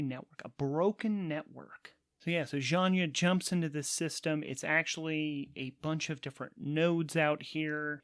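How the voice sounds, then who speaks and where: A man's voice: fairly high pitch, clean tone, dry recording, clean studio sound. Man narrating a solo tabletop game. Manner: thinks aloud, alternating game mechanics and storytelling. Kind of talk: casual actual-play game narration.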